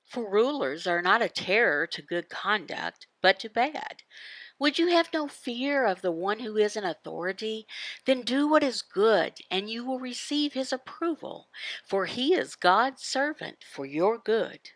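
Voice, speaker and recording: Woman teaching scripture with wide, swinging pitch. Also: big pitch swings, unhurried, fairly bright tone, no room sound, low-quality recording. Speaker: voice interpreter for a signed devotional. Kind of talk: devotional Bible teaching, voiced from sign language.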